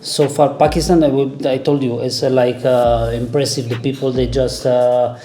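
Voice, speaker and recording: A man, answering interview questions in halting English with many fillers and easy laughter. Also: medium pitch, level tone, warm tone, reverberant, a little hiss or hum. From Italy. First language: Italian. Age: 40s. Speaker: interviewee, overlander. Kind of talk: casual interview.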